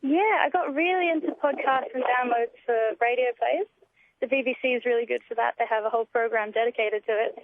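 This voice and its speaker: A woman's voice: a little high, fairly quick, dry recording. Young Australian woman on a phone line. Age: young adult. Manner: enthusiastic, gushing. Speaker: interview guest, student writer. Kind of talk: casual radio interview chat. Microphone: telephone call. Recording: tinny narrow phone line.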